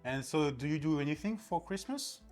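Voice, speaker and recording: Very low-voiced woman interviewer. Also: animated, a little fast, darkish tone, soft background music. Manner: friendly, prompting questions. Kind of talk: casual interview chat.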